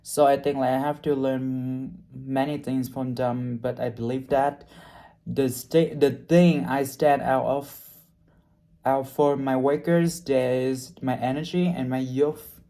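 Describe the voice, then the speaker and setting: A young man, not a native English speaker, talking through his hospitality internship in a halting way, with many restarts and fillers as he searches for words. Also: mid-range voice, fairly even intonation, gravelly, warm tone. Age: young adult. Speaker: hospitality trainee. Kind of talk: unscripted personal video reflection.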